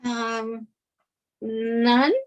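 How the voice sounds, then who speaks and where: Actress as sheltered young woman, naive, eager, highish voice, unhurried pace, sitcom read. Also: flat delivery, dull audio. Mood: sheepish. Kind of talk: sitcom script table read.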